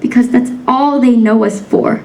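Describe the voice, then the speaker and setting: A woman's voice: on the high side, fairly even intonation, moderate pace, vocal fry, a little mellow, distant mic, low-quality recording. Young woman performing spoken word poetry. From United States. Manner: rhythmic rhyming cadence, emphatic and defiant. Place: banquet hall stage.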